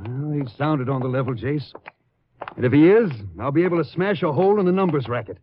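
A man's voice: fairly high pitch, brisk, natural voice. A man acting a tough, impatient police detective in a 1950s radio drama, blunt and clipped. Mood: brisk, businesslike. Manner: hard-boiled, blunt, clipped. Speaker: supporting actor in a radio drama. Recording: dull, noisy 1950s radio recording.

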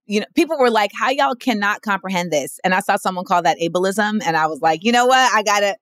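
A woman guest with a general American accent, speaking in a candid interview in a direct, emphatic way, with quick asides. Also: a bit bright, dry recording, studio quality. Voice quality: says she has a deep voice.

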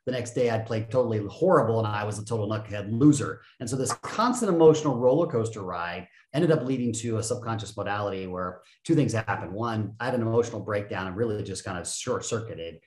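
A man in his fifties, a podcast guest, telling his story in a casual, animated and self-deprecating way with frequent laughs. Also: fairly low pitch, fast, few pauses, roomy sound. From United States. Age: 50s. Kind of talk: casual interview talk.

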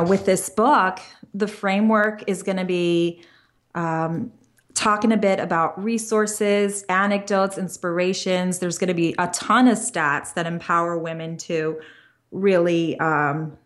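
A young woman, a career coach who is expecting a child, talking earnestly and openly as a guest in an interview, in long flowing runs with small restarts. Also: lowish voice. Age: young adult. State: pregnant. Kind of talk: interview conversation.

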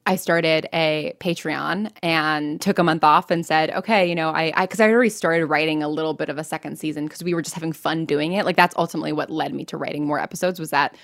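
A woman's voice: low-pitched, fairly even intonation, fast talker, creaky, few pauses, a bit bright, no room sound, studio quality. An American woman telling her own story casually as an interview guest, chatty and self-deprecating, often quoting her own thoughts. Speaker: interview guest, podcast writer and producer.

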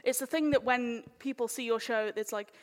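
A woman's voice: a little high, normal speed. A woman chairing a live panel informally, with a jokey, cheeky manner. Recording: echoey hall with long reverb.

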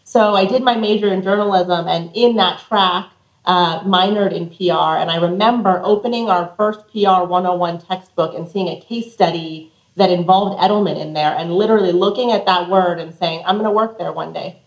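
A woman's voice: lowish voice, moderate pace, echoey room, low-quality recording. A middle-aged American woman from Colorado, giving articulate, reflective answers as an interview guest. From Colorado, United States. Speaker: interview guest, communications executive.

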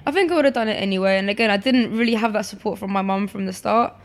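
A woman's voice: medium pitch, rapid-fire. A woman, chatting casually as an interview guest with many fillers and restarts. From born in Poland, raised in London. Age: 20s. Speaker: guest, photographer.

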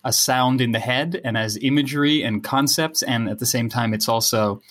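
A man whose English carries his talks as a podcast guest. His voice sits at an ordinary middle pitch, and he muses about ideas in long abstract sentences, often restarting his phrases. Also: moderate pace, a bit bright. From Netherlands. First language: Dutch. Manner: musing, abstract, many restarts. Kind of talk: casual podcast conversation.

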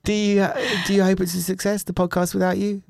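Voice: mid-range voice, flat delivery, fairly quick, vocal fry, darkish tone, clear, resonant tone. A podcast host in casual chat who often stammers at the start of questions and giggles. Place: podcast room.

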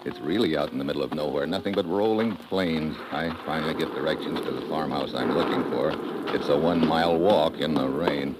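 An actor playing a tough, unflappable American secret agent in 1950s radio drama style, with clipped, dry delivery. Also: male voice, rumbling low, brisk. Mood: terse, narrating. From United States. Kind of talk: 1950s radio drama acting with first-person narration. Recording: noisy old 1950s radio recording.

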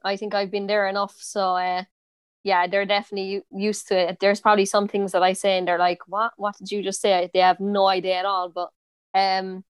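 Brief: twenties woman, Cork accent, flat even delivery, smooth voice, dry close sound, easygoing interviewee with fillers. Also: mid-range voice, a little fast, no echo. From Ireland. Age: 20s. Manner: relaxed, many fillers and restarts. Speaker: interviewee, footballer. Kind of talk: casual interview.

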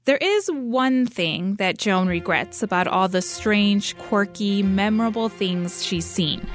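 A woman radio host reading scripted narration with a bright storytelling delivery. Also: medium pitch, slow, soft background music.

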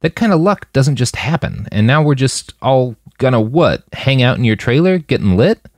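A man's voice: medium pitch, very animated, moderate pace, no room sound, studio quality, voice pushed up. A man voicing a wary but polite young male fixer in an audiobook, with casual, hesitant, slangy speech. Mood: tentative, questioning.

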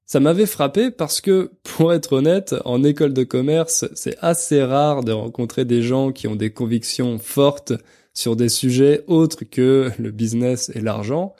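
A man's voice: medium pitch, a little slow, mellow. A young adult man with an accent from France presents a podcast for language learners, explaining things didactically. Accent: French, from France. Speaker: podcast host and French teacher.

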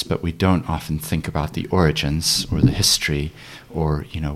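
Deep-voiced New Zealand podcast host. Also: male voice. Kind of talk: podcast interview.

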